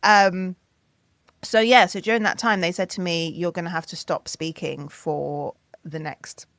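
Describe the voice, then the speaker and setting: A woman with a low-pitched voice telling stories in a podcast interview, springing up high and loud whenever she acts out what others told her. Her voice sounds close and dry, with no room around it. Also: measured pace, no echo. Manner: animated storytelling, acting out quotes in a raised voice. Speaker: singer, songwriter, DJ and producer.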